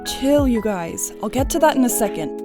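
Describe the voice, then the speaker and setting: A woman's voice: on the high side, expressive, moderate pace, close to an everyday voice. A woman playing a composed, polite young organizer in a fan audio drama, speaking in a businesslike way. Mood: in charge. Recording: music under the voice.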